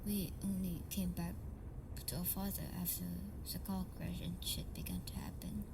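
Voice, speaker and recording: A young woman playing an emotional, halting tsundere delinquent heiress in an amateur audio roleplay. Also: lowish voice, big pitch swings, background noise. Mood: hushed, weary. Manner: emotional, halting, swears freely. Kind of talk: amateur audio roleplay, intimate dramatic monologue.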